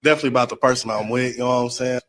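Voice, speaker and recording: A man's voice: mid-range voice, fairly even intonation, racing, fairly bright tone, slight background noise. A man giving casual, informal answers as an interviewee in a chat. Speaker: interviewee, city bus driver.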